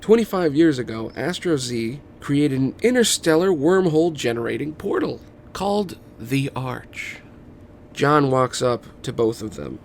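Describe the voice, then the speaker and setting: Gravelly man narrating a script. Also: medium pitch, sing-song, measured pace. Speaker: podcast host reading stage directions. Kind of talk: informal table read narration of a film script. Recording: faint background noise.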